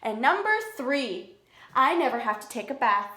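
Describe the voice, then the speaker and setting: A woman's voice: a little high, expressive, sharp tone. A woman voicing a young boy worm reading out his diary in a children's picture-book read-aloud. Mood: pleased. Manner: slow, simple, storybook delivery. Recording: echoey room.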